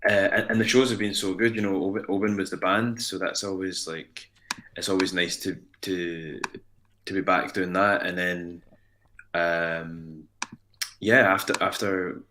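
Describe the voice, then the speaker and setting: Low bright-toned Scottish man, rambling. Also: little pitch movement, slight background noise. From Scotland. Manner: rambling, halting, repeats words. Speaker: musician guest. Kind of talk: casual radio chat.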